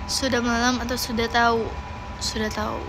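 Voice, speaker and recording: A woman's voice: fairly high pitch, level tone, normal speed, background noise. A woman of nineteen, chatting casually and playfully on a livestream, often repeating words as she answers viewers. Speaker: idol livestream host.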